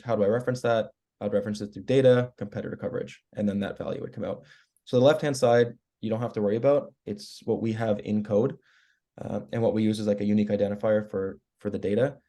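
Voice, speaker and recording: A hoarse man, losing his voice after a cold, walking colleagues through editing web pages in a relaxed, explanatory way on a video call. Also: fairly low pitch. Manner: relaxed, explanatory, clears throat often. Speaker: web team member giving training. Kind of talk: screen-share walkthrough on a work call.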